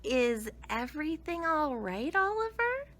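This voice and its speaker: A woman voicing a storybook mother who coaxes sweetly and gently in a sing-song way, trying to stay calm. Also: high-pitched, a little slow, voice pushed up, exaggerated voice. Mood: calm coaxing.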